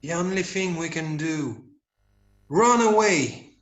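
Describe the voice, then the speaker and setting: A man's voice: fairly high pitch, lively intonation, slow pace, a bit bright. A man playing a cheeky but wistful young boy in an amateur musical read-through, blunt and boyish.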